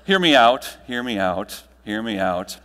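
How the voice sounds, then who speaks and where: A man giving a motivational keynote to a live audience, telling stories with dramatic pauses and emphatic delivery. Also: mid-range voice, big pitch swings, fairly bright tone, a little hiss or hum. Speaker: keynote speaker. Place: large room, live audience, amplified. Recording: echoey hall, long reverb.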